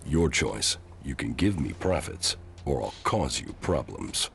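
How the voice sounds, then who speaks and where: A man playing a stoic, hardened commander in a post-apocalyptic video game, gravelly, terse and deadpan. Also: rumbling low, unhurried, a little hiss or hum, exaggerated voice. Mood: blunt, confident.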